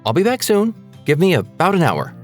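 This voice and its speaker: A man's voice: high-pitched, huge pitch swings, a little fast, soft background music. A man playing a practical, dismissive husband and father in a haunted-house audio drama. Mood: dismissive, reassuring.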